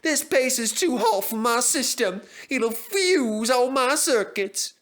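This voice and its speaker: A man's voice: very high-pitched, lively intonation, slow, a bit bright, pitched above the performer's own voice, full character voice. A man voicing a cranky, long-suffering old female motorcar in a children's story, wailing and complaining. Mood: grumbling.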